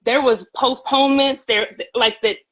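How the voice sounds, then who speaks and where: A woman's voice: high voice, steady tone, a little slow, dull audio. A woman singer talking earnestly as a guest in a casual live-stream chat, often repeating her words. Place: at home, by her pantry. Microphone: phone on a live stream.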